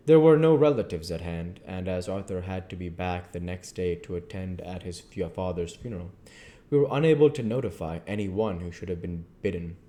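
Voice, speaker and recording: A man reading a novel aloud at a measured pace, with occasional slips and restarts. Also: sits low, mellow. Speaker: audiobook reader.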